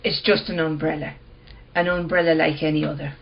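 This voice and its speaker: A woman playing a calm, enigmatic dealer in curios in a radio play, understated and unruffled. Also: sits low, a little fast, vocal fry, lo-fi sound. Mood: coolly teasing.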